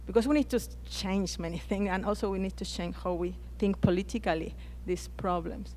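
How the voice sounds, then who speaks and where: A woman's voice: medium pitch, fairly quick, warm tone, slight background noise. A Chilean woman whose first language is Spanish, speaking on a conference panel with frequent hesitations and restarted words. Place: conference plenary hall.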